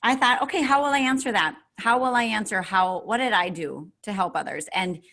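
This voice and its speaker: Podcast co-host: a woman in her forties with a bright, forceful tone and a middle-pitched voice. She talks casually and chattily, with an upbeat, self-teasing manner. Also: a little fast. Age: 40s.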